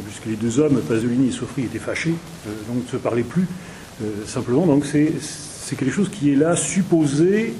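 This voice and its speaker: A man, a playwright, explaining his writing with frequent fillers and pauses. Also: medium pitch, warm tone, a little hiss or hum, low-quality recording. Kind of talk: discussion of theatre work.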